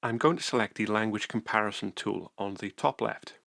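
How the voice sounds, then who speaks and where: A man calmly narrating a software tutorial screencast, explaining each step methodically. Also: fairly low pitch, fairly quick, vocal fry, dry recording, studio quality.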